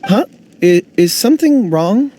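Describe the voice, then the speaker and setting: A woman playing a gentle, polite young male miner in a video game, talking in a thoughtful, wistful way. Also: mid-range voice, big pitch swings, measured pace, faint music behind. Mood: pleased, polite.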